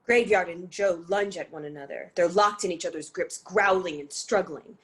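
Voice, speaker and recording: A woman's voice: fairly low pitch, moderate pace, raised voice. A woman reading scripted dramatic narration and stage directions for a play.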